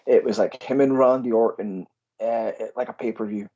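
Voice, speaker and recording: Aberdeen wrestler guest, breathy voice, muffled remote audio, relaxed and enthusiastic. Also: male voice, mid-range voice, normal speed. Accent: Aberdeen Scottish. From Scotland. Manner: easygoing, filler-heavy, enthusiastic. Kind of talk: casual interview chat.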